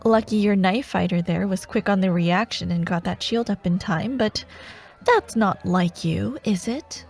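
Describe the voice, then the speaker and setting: A woman playing a menacing dark warlord who haunts nightmares, teasing and taunting in a fantasy ASMR audio roleplay. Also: mid-range voice, soft background music, lightly acted. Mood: mocking, sinister. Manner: teasing, taunting, slow and deliberate, slipping toward whispers.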